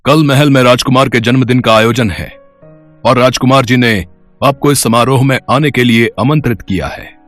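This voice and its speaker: An actor playing a formal royal soldier messenger in a storybook tale, proclaiming politely over music. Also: male voice, medium pitch, moderate pace, fairly bright tone, natural voice.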